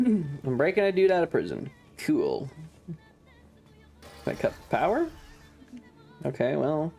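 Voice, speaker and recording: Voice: mid-range voice, wildly swooping pitch, takes it slowly, warm tone, breathy edge, soft background music. A streamer giving casual live commentary on a video game in an irreverent, sweary, rambling way.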